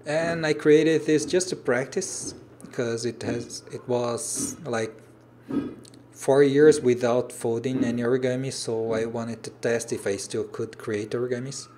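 A man's voice: mid-range voice, fairly even intonation, measured pace, a little mellow, a little hiss or hum. A man in his thirties with a Brazilian Portuguese accent, Portuguese his native language, casually chatting on a home livestream and stopping often to search for English words. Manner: hesitant, restarts phrases while searching for English words. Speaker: origami designer hosting a livestream. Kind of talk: casual livestream chat reading viewer comments. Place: home room with shelves, indoors.

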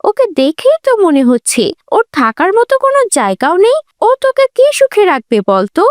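A woman's voice: extremely high pitch, wildly swooping pitch, a little fast, dry recording, clean studio sound, exaggerated voice. A woman voicing an arrogant, spoiled young rich sister in a melodramatic cartoon story, scornful, scolding and insistent.

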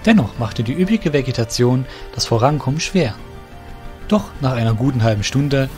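A man reads a fantasy audio drama aloud in a steady, mid-pitched voice. His delivery is even and measured, like a storyteller. Light music plays underneath him. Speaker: narrator. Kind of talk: scripted audio drama narration.

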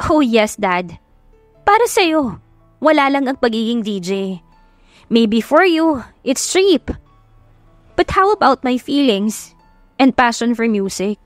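A woman's voice: a little high, very animated, takes it slowly, long pauses, no room sound. A woman voicing a passionate, rebellious young heiress daughter with a Filipino accent, emphatic and pleading, in an audio drama. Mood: impassioned pleading. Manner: emphatic, pleading, switches languages. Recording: music behind the voice.

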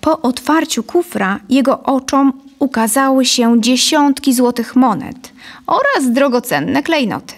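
A woman in her thirties narrating a scripted story deliberately and expressively into a close studio microphone. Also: high-pitched, lively intonation. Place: dim studio set, indoors. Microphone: studio condenser on a boom, close.

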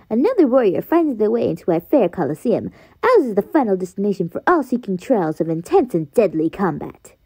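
Woman as a husky, boastful little warrior bug. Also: on the high side, dark tone, heavily acted, rough recording. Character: small boastful warrior bug, eager arena herald. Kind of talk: fan dub of video game dialogue.

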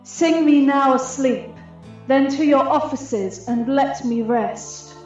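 A woman's voice: high voice, slow, darkish tone. An actress playing a regal fairy queen commanding her attendants in a verse radio play. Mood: commanding, serene. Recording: heavily echoing, muffled, music underneath.

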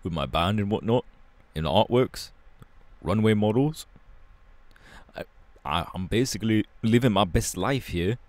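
A low, dry-recorded voice of a young punk hero acted by a man in an audio roleplay. He drawls in casual slang, rebellious and laid-back, with a short fuse. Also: animated, a little slow, a little mellow, no room sound. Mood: irritated, defiant.

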